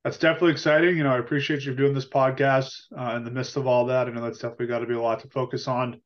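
Fast-talking male podcast host. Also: medium pitch, little pitch movement, muffled recording. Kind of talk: interview questions.

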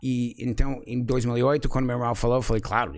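Native English-speaking man in his forties, laid-back guest who laughs easily, medium pitch. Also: quick pace. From United States. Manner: relaxed, frequent "sabe?" tags, laughs often. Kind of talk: casual conversation.

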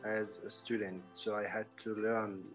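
A young man from Germany, a native German speaker and student, chatting haltingly in English with many fillers. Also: on the low side, little pitch movement, a bit bright, faint music behind. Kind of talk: casual chat.

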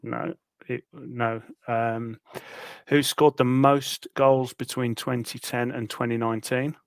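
A man's voice: medium pitch, flat delivery, takes it slowly, no room sound. A man co-hosting a casual podcast, reading out quiz questions and laughing at himself. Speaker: podcast co-host running the quiz.

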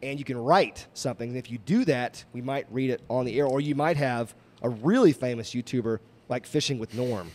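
A male podcast host with a voice of middle pitch, bantering loosely with his guests. He jokes his way through a sponsor read in a relaxed, casual chat. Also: brisk, few pauses. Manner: joking, reads sponsor ad. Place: indoor studio room.

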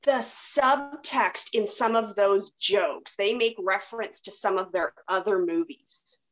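A woman in a casual podcast chat, appreciative and halting as she recalls things. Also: medium pitch, rough recording.

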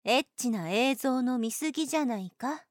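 A woman's voice: high voice, lively intonation, moderate pace, no room sound, studio quality. An actress playing a young female nurse in an anime-style voice drama, blunt and boyish in phrasing, protective and possessively clingy. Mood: cool, evasive. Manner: blunt boyish phrasing, doting toward the patient. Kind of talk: Japanese anime-style situation voice drama acting.

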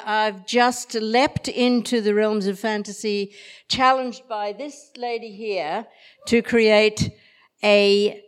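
An older woman with a middle-pitched voice. She takes her time, introducing herself at a live event with a deliberate, theatrical delivery. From Australia. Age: older, 60s or above. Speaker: voice coach and podcaster. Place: live podcast festival.

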